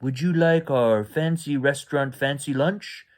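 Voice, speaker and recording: A man's voice: on the high side, a little slow, voice pushed up, natural voice. Man acting a snooty, formal restaurant waiter. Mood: haughty. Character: stuffy, snooty male waiter at fancy restaurant.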